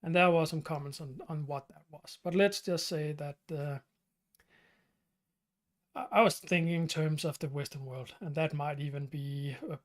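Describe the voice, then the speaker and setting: A man from Denmark with a Danish accent hosts his channel's live stream Q&A. He talks in a halting way, with many fillers and restarts. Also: on the high side, fairly even intonation. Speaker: channel host.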